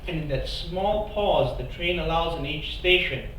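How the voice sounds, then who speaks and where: A man giving an expressive, playful live reading of a first-person story on a theatre stage. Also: fairly high pitch, a little slow, a little hiss or hum. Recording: echoey theatre, mic far off.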